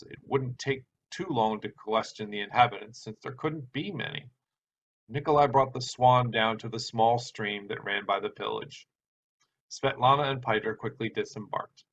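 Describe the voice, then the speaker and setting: A man's voice: medium pitch, fairly bright tone. Man reading aloud, slightly muffled sound. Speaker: author reading own story. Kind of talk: live story reading with spoken introduction. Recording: dull sound with background hiss.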